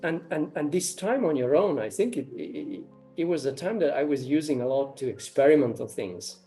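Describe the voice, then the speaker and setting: A man's voice: on the high side, expressive, moderate pace, warm tone, soft background music. A man from Romania, likely in his thirties, answering interview questions in a hesitant way, with many fillers and restarted phrases. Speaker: interviewee, artist and ecology researcher.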